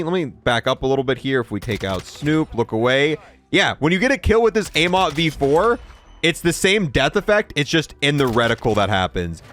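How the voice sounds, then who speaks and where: A man with a fairly high voice gives casual gameplay commentary as a video host. His pitch swings up and down a lot, and his delivery is informal and playful. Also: a bit bright.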